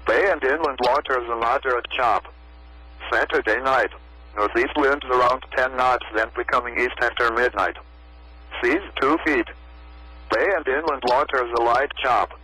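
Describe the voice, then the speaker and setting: A man's voice: medium pitch, normal speed, pushed and bright, slightly rough, dry recording, low-quality recording. A synthetic American male computer voice reading a scripted marine forecast in a stilted way on weather radio. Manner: stilted synthetic text-to-speech delivery. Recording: weather radio broadcast audio.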